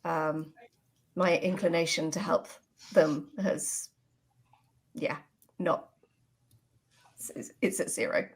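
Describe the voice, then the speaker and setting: A woman's voice: deep voice, flat delivery, slow, long pauses, slightly rough. A woman playing a tabletop game, talking casually with hesitant, dry understatement.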